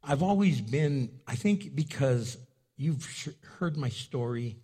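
A husky-voiced male pastor preaching in a storytelling way. His first language was Spanish, and he leaps into loud, high-pitched bursts when he acts out a scene before settling back down. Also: expressive, takes it slowly. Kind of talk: sermon.

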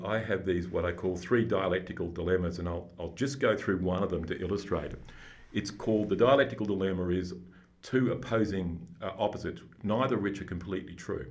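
A man with a booming voice, speaking as a psychologist guest, explaining things slowly and deliberately. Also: darkish tone. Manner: slow, deliberate, explanatory, with restarts. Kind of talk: calm, measured podcast conversation.

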